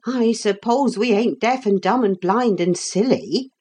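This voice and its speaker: A woman's voice: mid-range voice, unhurried, darkish tone, breathy edge, voice pushed up. A woman reading aloud, voicing a blunt, grumbling older cook with working-class speech. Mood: scolding.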